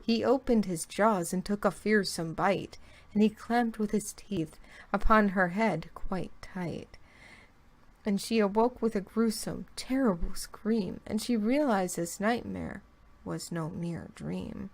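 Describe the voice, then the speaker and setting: A woman reading a rhyming creepy poem very slowly, taking her time over every line. Her voice sits in a middle pitch, and she drops to a whisper here and there. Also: vocal fry, a little mellow. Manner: slow, drawn-out delivery with whispered lines. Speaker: guest reader.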